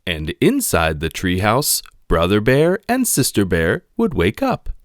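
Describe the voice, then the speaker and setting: A man reading a children's picture book aloud in an expressive narrating style. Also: lowish voice, a little slow, no echo, clean studio sound. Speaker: storybook reader.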